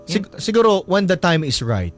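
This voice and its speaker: A man's voice: high voice, big pitch swings, moderate pace, faint music behind. A man hosting a radio advice show, chatting casually and probing with emphatic, rhetorical questions, switching between two languages. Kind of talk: casual counselling chat in Tagalog-English mix.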